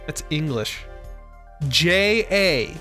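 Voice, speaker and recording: A man's voice: on the high side, expressive, drawn-out words, bright, forceful tone, soft background music. A man streaming a courtroom game, reading its lines aloud and joking between them with frequent laughter. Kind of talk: let's play commentary.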